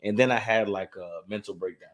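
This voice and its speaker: A man's voice: lowish voice, fairly quick. A man in his thirties, a musician guest in a casual interview, laid-back, laughing often and repeating phrases. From Silver Spring, Maryland.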